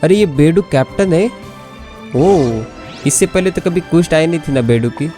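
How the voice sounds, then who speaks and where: Very fast-talking Indian male gamer. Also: fairly high pitch, expressive, music in the background. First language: Hindi. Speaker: gaming YouTuber. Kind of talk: casual gameplay commentary.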